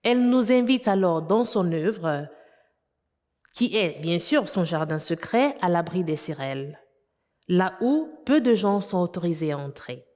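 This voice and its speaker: A woman's voice: lowish voice, expressive, a little slow, darkish tone, big-room sound. A woman hosting a TV interview, introducing a guest and asking rambling questions full of fillers. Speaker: interviewer host.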